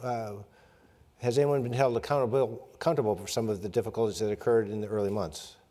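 A man's voice: lowish voice, fairly even intonation, quick pace, warm tone, big-room sound. An older man moderating a video-call discussion, reading out audience questions.

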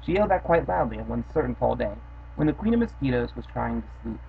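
A man's voice: mid-range voice, quick pace, gravelly. A young man who hosts a storytime channel, chatting casually and then reading a rhyming children's poem aloud in his bedroom. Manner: casual, offhand chat with fillers, then steady rhythmic reading. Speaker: host reading children's poems. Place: bedroom, indoors. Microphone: built-in webcam mic. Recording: dull lo-fi webcam sound with faint hiss.

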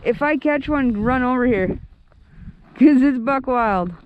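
A young man vlogging while ice fishing on a frozen lake, giving excited, slangy running commentary and talking to the fish. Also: very high-pitched, measured pace, narrow, tinny audio. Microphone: head-mounted action camera. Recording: outdoor wind and ice noise.

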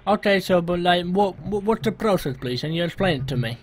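A man making a prank phone enquiry in a hesitant, halting, polite way. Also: sits high, lively intonation. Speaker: prank caller.

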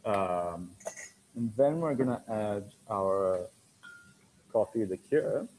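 A man's voice: lowish voice, lively intonation, takes it slowly, a little mellow, slight background noise, low-quality recording. Easygoing man in his thirties. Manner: easygoing, repeats words while explaining. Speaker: bartender. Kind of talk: cocktail demonstration. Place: dim bar set, indoors.

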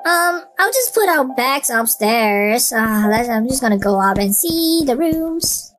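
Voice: mid-range voice, lively intonation, a bit bright. An actor voicing a boastful, easily flustered boy in an amateur game roleplay, with hesitant ums. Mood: casual, unsure. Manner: hesitant, drawn-out ums and uhs. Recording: background music under voice.

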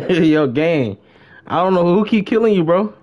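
A young adult man chatting casually as he streams a game, slangy and excitable, often repeating his phrases. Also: sits high, measured pace, highs cut off. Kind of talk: casual livestream gaming chat.

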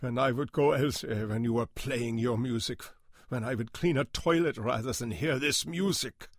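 A man voicing a haunted, angry older male janitor, a camp survivor, with a thick, guttural foreign accent and a halting, trembling delivery. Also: medium pitch, dry recording, studio quality, pitched above the performer's own voice, lightly acted. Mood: anguished rage. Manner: halting, trembling, emotionally raw.

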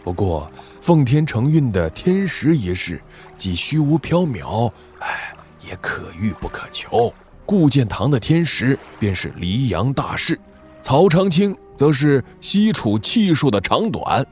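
A man's voice: mid-range voice, sing-song, unhurried, darkish tone, soft background music. A man playing a shrewd, wry military strategist in a wuxia audio drama, speaking in an analytical, sardonic way. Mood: reflective, appraising. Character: shrewd, wry male military strategist weighing rival commanders.

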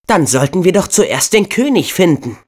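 A young man voicing an upbeat, brave and earnest teenage boy hero in a video game, with an expressive, youthful delivery. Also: sits high, moderate pace. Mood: curious, earnest.

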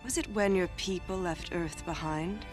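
An actress playing a calm, knowing narrator in a video game, speaking in measured, solemn rhetorical style. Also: female voice, fairly low pitch, lightly acted. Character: calm, knowing female narrator addressing a fallen soldier. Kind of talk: cinematic scripted narration. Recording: music under the voice.

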